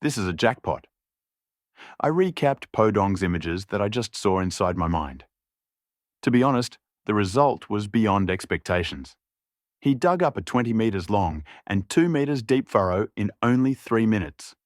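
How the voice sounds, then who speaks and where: A man's voice: on the low side, expressive, normal speed, no echo, clean studio sound. A man reading a scripted story as an audiobook narrator.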